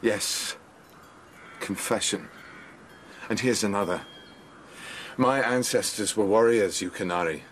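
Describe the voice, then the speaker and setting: An actor playing a proud, disdainful aristocratic lord in a radio drama, formal and coldly menacing. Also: male voice, fairly low pitch, takes it slowly, pauses often, fairly bright tone, slight background noise. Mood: proud confession. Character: proud, cold aristocratic lord with a warrior's romantic streak.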